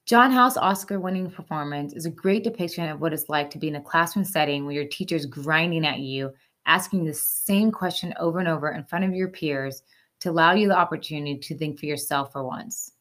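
A woman's voice: low voice, fairly quick, fairly bright tone. A woman hosting a segment, reading scripted explainer narration over music. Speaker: host.

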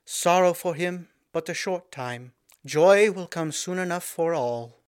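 A young, gracious princess who speaks in clear, ringing, measured tones, as a male storyteller puts her on. Her voice lifts above his usual register and stays dry and close in a storybook reading. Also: on the high side, animated, dry recording, voice pushed up, natural voice. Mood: solemn.

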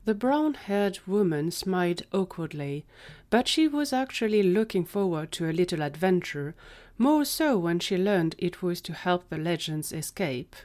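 A woman's voice: on the low side, very animated, unhurried, a little mellow. A woman reading scripted story narration as the narrator of an audio drama.

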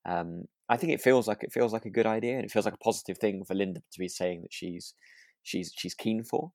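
A man co-hosting a podcast in casual discussion, speaking in a reflective, halting and hesitant way. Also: on the low side, creaky, a little mellow, no echo. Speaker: podcast co-host.

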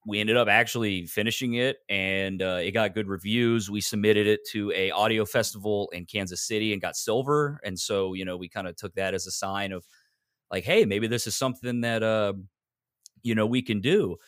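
An American man with a lowish voice talks casually as a podcast guest. He rambles a bit and pokes fun at himself. The sound is dry and close. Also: moderate pace, fairly bright tone, dry recording. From Texas, United States. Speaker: podcaster and audio drama maker, guest. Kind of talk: casual interview chat.

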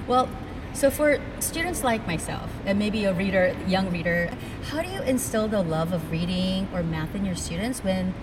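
A woman's voice: medium pitch, lively intonation, normal speed, distant mic, noisy background. Chatty woman host, a bit off mic. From immigrated to the US at ten. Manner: warm, chatty, laughs often. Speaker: podcast host. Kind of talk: scripted intro then casual interview. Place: event theater, recorded in person.

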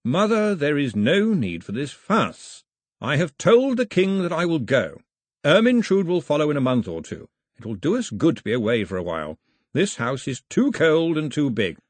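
Man as dry, firm upper-class father, clipped and understated, somewhat raised, husky voice. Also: a little high, wildly swooping pitch, normal speed, dry recording, pitched above the performer's own voice. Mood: grave.

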